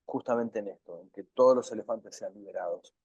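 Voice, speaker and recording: A man answering interview questions in an earnest, measured way, often repeating phrases for emphasis. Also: lowish voice, a little mellow, husky, dry recording, dull audio. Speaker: interview guest, documentary filmmaker.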